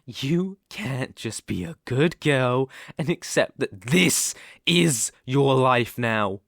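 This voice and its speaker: Man acting a slow, obsessive British kidnapper boyfriend. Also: mid-range voice, lively intonation, creaky, fairly bright tone, no echo, clean studio sound, full character voice. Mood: angry, controlled. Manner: condescending, menacing pet-name coaxing. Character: obsessive possessive young male kidnapper, volatile, controlling. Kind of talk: intimate ASMR roleplay acting. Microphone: close microphone.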